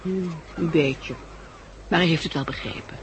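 An actress playing an affectionate, level-headed female survivor in a 1960s Dutch radio drama, gentle and matter-of-fact, with a Netherlandic accent. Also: sits low, measured pace. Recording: noisy old 1960s radio recording.

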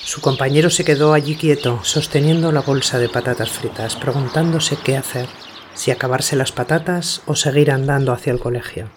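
A man narrates a radio story in a medium-pitched voice. His delivery is sober and measured, with faint ambience underneath. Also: fairly even intonation, noisy background.

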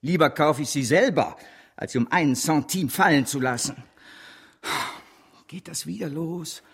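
A man's voice: a little high, a little slow, creaky, slightly rough, exaggerated voice. An actor playing a grandiose, scheming bank director in a German radio drama, bombastic and impatient as he persuades. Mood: insistent, indignant.

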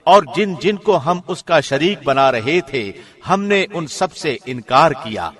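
A man reading a scripture translation as formal narration, solemn and measured, with careful enunciation. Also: fairly high pitch, lively intonation, a bit bright. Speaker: translation narrator, radio host.

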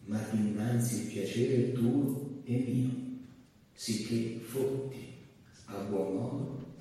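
A man's voice: medium pitch, slow, warm tone, lightly acted. An actor playing a weary man muttering to himself in a theatrical monologue. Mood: tired, distracted. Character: weary man muttering alone before bed. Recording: very echoey distant hall, faint noise.

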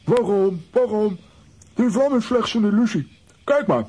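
An actor playing a bold, excitable male adventurer in a children's audio play, with a blustering manner. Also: medium pitch, measured pace, slightly rough.

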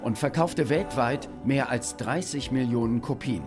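An actor playing a matter-of-fact commentator, explanatory and factual, in a documentary-style radio play. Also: male voice, mid-range voice, unhurried, close to an everyday voice. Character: matter-of-fact male commentator on a band's history. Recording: music behind the voice.

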